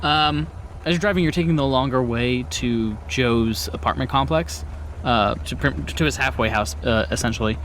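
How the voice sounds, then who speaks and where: A man's voice: mid-range voice, slight background noise. A man acting as game master, narrating a tabletop roleplaying game in the second person.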